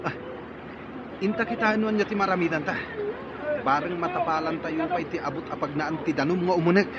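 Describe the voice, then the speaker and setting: A man's voice: fairly high pitch, brisk, over a noisy crowd, close to an everyday voice. A man playing a tense, impatient boatman in a radio drama, giving curt orders. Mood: worried. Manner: curt, commanding. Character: tense, impatient man running a stricken ferry. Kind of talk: Ilocano radio drama acting. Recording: muffled, noisy scene with outdoor clamour.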